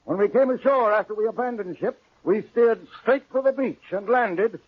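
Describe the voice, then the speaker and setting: A sea captain who stands his ground, earnest and formal in his seafaring speech. The voice sits high with a husky edge, in the manner of old-time radio drama. The recording is muffled and vintage. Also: moderate pace. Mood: earnest, defensive. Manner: formal nautical speech with "aye". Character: earnest, defensive sea captain with superstitious streak.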